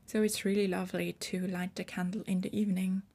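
A woman's voice: fairly low pitch, little pitch movement, brisk, mellow. A woman in her twenties hosting her own podcast, explaining things carefully and correcting her wording as she goes. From Switzerland. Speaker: podcast host.

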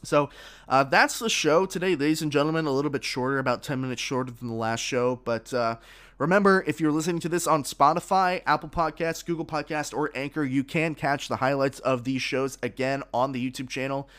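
A man's voice: mid-range voice, brisk, fairly bright tone. Guy doing a solo sports podcast, bit congested, opinionated, lots of um and restarts. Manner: opinionated, many restarts and fillers. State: slightly congested, frog in throat.

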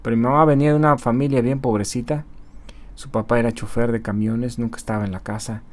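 A man who markets on social media, giving a casual motivational talk to his channel's viewers. He speaks conversationally, often checking in with tag questions and pausing on hesitation fillers. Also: medium pitch, little pitch movement.

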